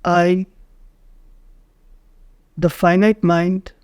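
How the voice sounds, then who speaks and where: A man narrating a guided meditation in short, measured phrases with frequent pauses. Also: sits high, flat delivery, darkish tone, clean tone, no room sound, clean studio sound.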